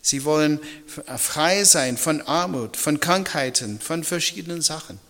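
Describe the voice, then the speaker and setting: A middle-aged male pastor, a native English speaker, preaches with a bright, forceful voice. He speaks haltingly and reflectively, in a personal way. Long hall reverb surrounds his fairly high voice. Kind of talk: sermon in German.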